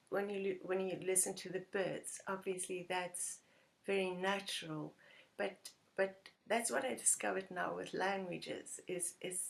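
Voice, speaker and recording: Gravelly-voiced woman groping for words. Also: mid-range voice. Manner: searching for words, frequent restarts and laughs. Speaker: participant. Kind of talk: casual sharing.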